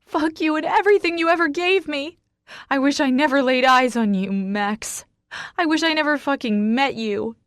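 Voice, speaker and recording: A woman's voice: fairly low pitch, unhurried, warm tone, husky, no room sound. Woman performing heartbroken fiery heroine, defiant, raw emotional delivery, romance audiobook, dry sound. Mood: screaming rage. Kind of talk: audiobook character acting.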